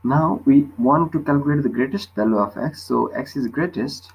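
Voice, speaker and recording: A man's voice: mid-range voice, moderate pace, gravelly, slightly rough, low-quality recording. A man tutoring physics, explaining a worked problem step by step and often checking with short questions.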